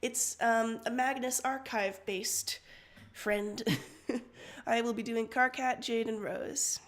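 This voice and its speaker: A woman's voice: on the high side, steady tone, slow. A woman co-hosting a casual story-reading livestream, prone to giggling, with the start of a throat cold. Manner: giggly, breaks into laughter often. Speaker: co-host of a story-reading stream.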